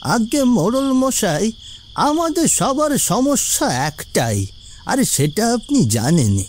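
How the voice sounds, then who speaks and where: A man's voice: extremely high pitch, very animated, slow, a little hiss or hum, heavily acted. A man playing a humble older village shopkeeper in a cartoon, respectful and pleading as he airs his grievance. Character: humble elderly village shopkeeper, deferential but aggrieved. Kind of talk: cartoon voice acting.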